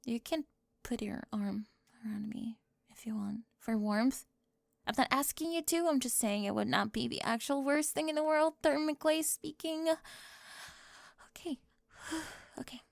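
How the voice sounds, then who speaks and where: A woman performing a whispered ASMR roleplay, teasing and sharp-tongued, moving between whispers and soft speech into a close microphone. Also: high-pitched, normal speed, vocal fry, no room sound.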